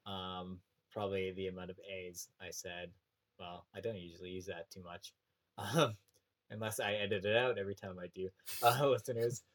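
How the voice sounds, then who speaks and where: A man's voice: low-pitched, fairly even intonation, normal speed, a bit bright. A young Canadian man with a prairie accent, a teacher chatting casually on a podcast, full of fillers and restarts. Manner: rambling, many fillers and restarts.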